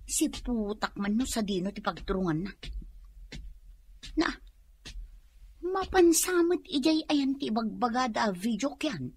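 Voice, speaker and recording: An actress with an Ilocano accent playing a nosy, excitable married village gossip in a radio comedy drama, exclaiming and talking to herself. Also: female voice, on the high side, slight background noise.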